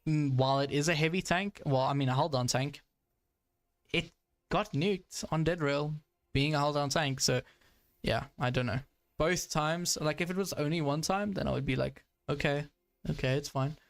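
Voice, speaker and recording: A man's voice: on the high side, moderate pace, no echo. A young man giving casual live commentary while playing a tank game, chatty with frequent fillers and frustrated asides. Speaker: gaming streamer.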